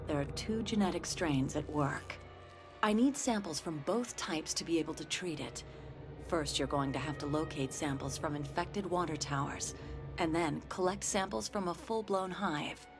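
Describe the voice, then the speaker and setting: A woman's voice: low-pitched, moderate pace, music in the background. An actress playing a businesslike female scientist in a video game, giving clinical, instructive mission briefings. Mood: focused, businesslike.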